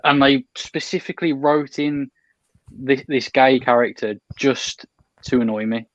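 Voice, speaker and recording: A young man speaks at a middle pitch over a video call, halting and restarting as he tells his story. The sound is dry and a little dull. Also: dry recording. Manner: halting, restarts often while telling a story. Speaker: podcast guest.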